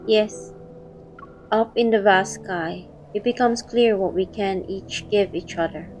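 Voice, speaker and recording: A woman's voice: mid-range voice, unhurried, darkish tone, soft background music. A woman reading scripted first-person narration for a romantic audio drama, also voicing its heroine.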